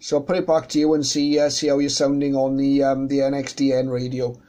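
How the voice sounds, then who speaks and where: A man's voice: medium pitch, monotone, a little fast, warm tone. A man talking casually as an amateur radio operator, explaining things in a conversational way. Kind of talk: casual radio contact chat. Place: indoors beside radio equipment.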